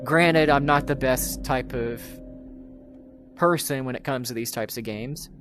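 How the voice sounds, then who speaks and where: Male gaming host, mid-pitched, slow and hesitant, off-the-cuff review delivery with music underneath. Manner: hesitant, rambling. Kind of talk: casual unscripted game review.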